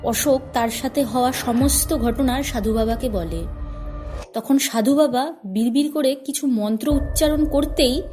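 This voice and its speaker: A woman's voice: high voice, unhurried, dark tone. A woman narrator reading a scripted horror story for a cartoon. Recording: music under the voice.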